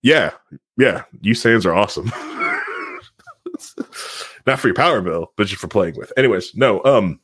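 A man's voice: lowish voice, animated, normal speed, long pauses, fairly bright tone, breathy edge, dry recording. A man hosting a tech talk show in casual banter, rambling and jokey, often laughing.

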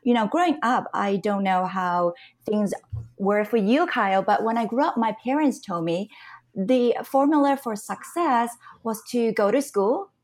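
A woman's voice: fairly high pitch, unhurried, darkish tone. A middle-aged woman from Canada, a guest on a podcast, earnestly telling the story of her career. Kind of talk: podcast interview.

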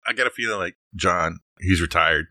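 A man's voice: sits low, fairly quick, sharp tone, no room sound, clean studio sound. A man co-hosting a podcast in casual, playful, joking chat. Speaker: podcast co-host.

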